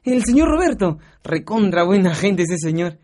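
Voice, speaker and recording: Young driver, Peruvian accent, carefree, naive, casual delivery, radio drama. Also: male voice, high voice, very animated, normal speed, darkish tone. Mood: excited, carefree. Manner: breezy, casual.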